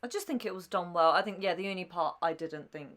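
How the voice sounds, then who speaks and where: A woman's voice: on the low side, quick pace, a bit bright. A young woman in a casual reaction chat, musing informally with hesitant phrasing as a co-host. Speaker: co-host reacting as a newcomer to a TV show.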